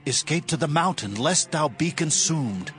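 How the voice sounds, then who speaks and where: A commanding angel urging escape, voiced by a man. The voice sits fairly high and moves a lot in pitch. Clear music plays underneath. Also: lively intonation, normal speed, a bit bright. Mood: urgent. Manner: urgent, commanding. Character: solemn angel, urgent and commanding. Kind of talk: dramatized Bible reading.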